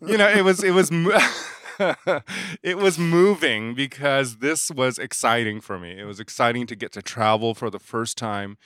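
A man in his forties, a makeup artist, telling stories in a casual interview, animated and laughing often as he acts out dialogue. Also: medium pitch, moderate pace, clear, resonant tone, dry recording, clean studio sound.

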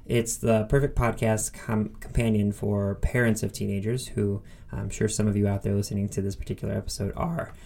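Creaky, low-voiced man hosting a podcast. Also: flat delivery, a little fast, few pauses, dark tone. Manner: enthusiastic, informal. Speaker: podcast host. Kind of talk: casual podcast intro and conversational interview.

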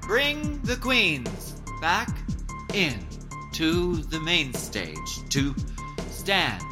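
A woman acts out a bitchy, theatrical drag pageant host for a radio comedy. The voice sits low and swoops grandly through slow announcements, with music clearly behind it. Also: sing-song, full character voice. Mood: exasperated. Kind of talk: parody reality-show hosting in radio play.

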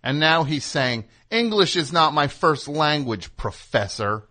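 A man hosting a comedic radio call-in show, chatting casually in an excitable, rambling way with sudden jumps in pitch. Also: measured pace. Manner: excitable, rambling, jumps between high and low. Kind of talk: casual comedic phone chat. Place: radio studio.

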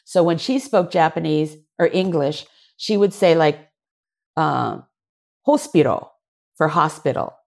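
Gravelly low-voiced actress telling stories. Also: female voice, a little slow. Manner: animated, laughing, acts out voices and gestures. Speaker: actor. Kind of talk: conversational interview storytelling.

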